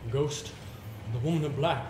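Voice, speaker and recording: Man acting haunted gentleman, highish voice, echoey stage. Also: lightly acted. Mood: haunted, grave. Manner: dramatic, deliberate phrasing with weighted pauses. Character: haunted earnest gentleman narrating his ghostly ordeal. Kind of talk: theatrical amateur stage monologue. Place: theatre stage, recorded from the audience. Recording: echoey stage recording with faint noise.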